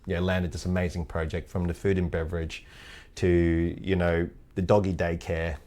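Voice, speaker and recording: A man from Thailand raised in Australia, speaking with an Australian accent as an interview guest, relaxed and laughing often. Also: deep voice, normal speed. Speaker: guest, head of sales operations. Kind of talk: casual interview chat.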